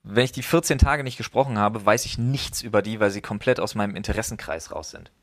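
A man's voice: on the low side, fast, a bit bright. A man in his thirties in casual podcast chat, talking reflectively with many fillers and restarts. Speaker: podcast host.

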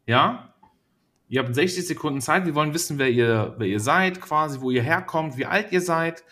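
A slightly high-voiced man hosting a relaxed podcast conversation. He teases his guests and keeps laughing between sentences. His talk is loose and casual. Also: normal speed. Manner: playful, laughs often, teasing. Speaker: podcast host.

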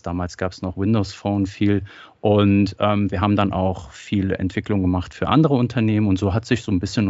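A man with a lowish voice talks as a podcast guest, halting often and restarting his sentences. The sound is dry and close, with a slightly dull, lo-fi tone. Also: darkish tone, no room sound. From Germany. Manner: hesitant, many fillers and restarts. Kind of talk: casual interview chat.

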